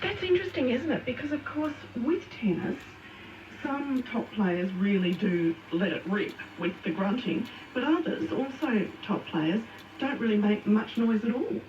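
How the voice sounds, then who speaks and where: A woman with a fairly high voice hosts a conversational radio interview. It sounds dull and roomy, played back from a distance with faint noise. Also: measured pace. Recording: echoey, muffled, distant radio playback.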